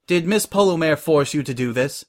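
No-nonsense lady pony boss, read by a male narrator: polite, measured, stern with a kind streak, storybook style. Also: on the high side, lively intonation, pitched above the performer's own voice, close to an everyday voice. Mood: cool, neutral.

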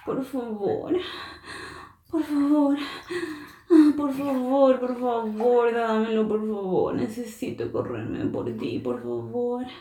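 Breathy whispering woman in echoey bathroom. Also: on the high side, lively intonation, a little slow, warm tone. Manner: breathy, often whispering, moaning. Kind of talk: intimate erotic audio monologue. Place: bathtub in a bathroom. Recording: echoey bathroom, distant mic.

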